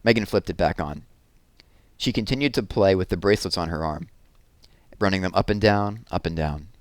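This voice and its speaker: A man reading a children's novel aloud in an expressive storytelling style. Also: low voice, a little fast, dry recording.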